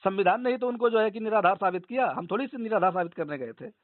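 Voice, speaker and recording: A man's voice: high-pitched, lively intonation, quick pace, no echo. A man argues insistently in a heated phone debate, repeating his points. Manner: argumentative, insistent, repeats himself. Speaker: organisation leader debating a caller. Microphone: phone line. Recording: tinny narrowband phone call recording.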